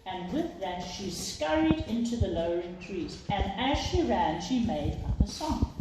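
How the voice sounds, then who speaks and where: Teacher lady reading a kids story, nice and slow, far from mic, faint noise. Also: medium pitch, big pitch swings. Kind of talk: children's storytime narration. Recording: roomy echo, distant mic, faint noise.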